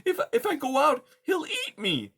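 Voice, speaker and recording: A man's voice: very high-pitched, wildly swooping pitch, moderate pace, vocal fry, fairly bright tone, voice pushed up, heavily acted. A man voicing a timid, frightened young female mouse who stammers and pleads faintly, in a storybook reading. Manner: stammering, faint, breathless with fear.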